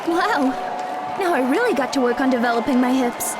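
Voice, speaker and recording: A woman's voice: sits high, lively intonation, normal speed, a loud crowd, heavy background noise. A woman playing a polite, determined young female martial artist in an audio drama. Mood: earnest, determined. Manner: polite, earnest.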